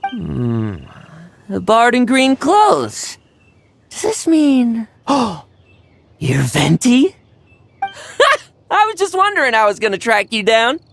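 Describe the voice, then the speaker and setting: A woman's voice: on the high side, wildly swooping pitch, slow, vocal fry, pauses often, slight background noise, heavily acted. A woman voicing a brash, cheerful, battle-hungry young knight in a video game, with a bold, boisterous manner. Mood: eager, friendly. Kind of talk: video game character dialogue.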